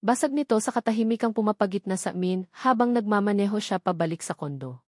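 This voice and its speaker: A woman's voice: medium pitch, lively intonation, quick pace, darkish tone, no echo. Filipino woman narrating a romance story, dry sound. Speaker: audiobook narrator. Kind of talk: first-person romance novel narration, dialogue read aloud.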